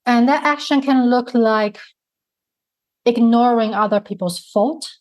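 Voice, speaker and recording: A woman with a Mandarin accent, a native Mandarin speaker, talking slowly and thoughtfully as a guest in an interview. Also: on the high side, darkish tone. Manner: slow, thoughtful, searching for words. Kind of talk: reflective interview conversation.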